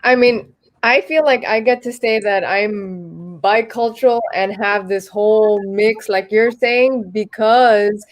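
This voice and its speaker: A Cuban-American woman speaks as a panelist over a video call. She is reflective and unhurried, and she uses plenty of fillers as she thinks aloud. Her voice sits at a middle pitch. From United States, Cuban family. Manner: reflective, thoughtful, full of fillers. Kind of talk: casual panel discussion.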